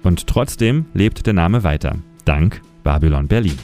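A man reading a scripted documentary-style narration with a measured storytelling delivery. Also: sits low, expressive, a little mellow. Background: music bed.

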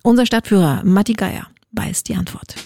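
A woman's voice: medium pitch, normal speed, gravelly, darkish tone, no room sound, clean studio sound. A woman hosting a radio show in a casual, colloquial and chatty way. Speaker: radio host.

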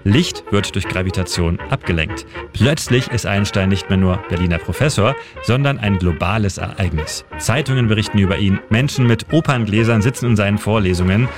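Low-voiced male storyteller over clear music. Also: fairly quick, hardly any pauses. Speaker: history segment presenter. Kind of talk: scripted storytelling narration. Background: music bed.

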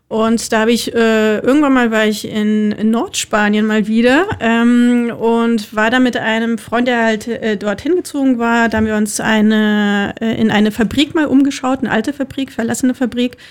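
A woman's voice: a little high, steady tone, moderate pace, few pauses, smooth. A woman speaking as a music producer guest, telling things informally and a bit hesitantly in a casual interview.

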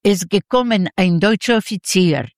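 A woman in her nineties from Ukraine with a Yiddish-Ukrainian accent, telling her story in an interview in halting, simple broken sentences and acting out quoted dialogue. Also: mid-range voice, no room sound, studio quality. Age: 90s. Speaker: interview guest, Holocaust survivor giving testimony.

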